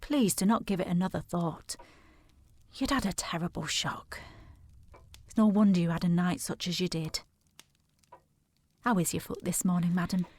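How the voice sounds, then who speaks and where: An actress playing a soothing, dutiful housekeeper in a period audio drama, deferential and formal, with a husky, creaky voice. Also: female voice, lowish voice, moderate pace, long pauses, a little mellow.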